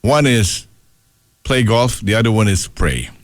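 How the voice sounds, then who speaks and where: A man's voice: lowish voice, lively intonation, a little slow. A man hosting a music radio show as DJ, with playful patter and joking asides between songs. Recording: radio aircheck with loud music behind. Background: loud pop music.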